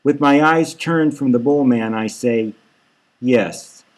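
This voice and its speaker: Male author-narrator for scripted dark fiction audiobook, mid-range voice. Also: mid-range voice, measured pace, low-quality recording. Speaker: author reading own novel.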